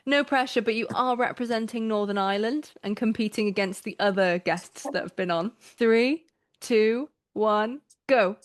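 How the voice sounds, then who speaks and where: A woman's voice: fairly high pitch, moderate pace. Chatty interviewer woman with English accent. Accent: English, often taken for London. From Wales. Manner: friendly, chatty, laughs often. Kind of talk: casual interview.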